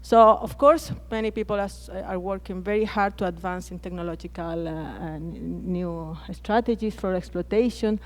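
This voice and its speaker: A woman's voice: on the low side, moderate pace, hardly any pauses. Chilean woman speaking hesitantly on a panel. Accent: Chilean Spanish. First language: Spanish. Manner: hesitant, frequent restarts and repeated words. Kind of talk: conference panel talk. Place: conference plenary hall.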